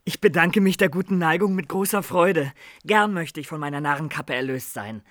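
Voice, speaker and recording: An actor playing a naive, pious young fool in a radio play, speaking with earnest politeness and innocent wonder. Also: male voice, fairly high pitch, normal speed, fairly bright tone, no echo, studio quality, lightly acted.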